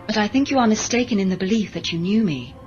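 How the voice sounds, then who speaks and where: A woman's voice: mid-range voice, animated, fast, a little mellow, close to an everyday voice. Actress as detached female cyborg servant, muffled sound. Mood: flat, detached. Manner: formal, detached, precise, deferential. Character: emotionless modified female cyborg servant, dutiful. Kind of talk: science fiction audio drama acting. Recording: dull lo-fi audio drama recording, music behind.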